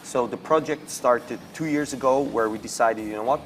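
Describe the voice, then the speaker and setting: A man's voice: mid-range voice, moderate pace, soft background music. A man with a Swiss accent, an athlete guest telling his story casually in a studio interview. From Switzerland.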